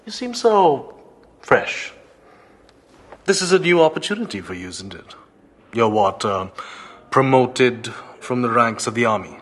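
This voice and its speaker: A sly, imperious male emissary from a radio drama. His husky voice sits at a middle pitch and moves expressively, with a sardonic edge. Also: big pitch swings, unhurried, pauses often. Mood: sly, probing. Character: haughty, probing male foreign emissary, slyly assured. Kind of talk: audio drama acting.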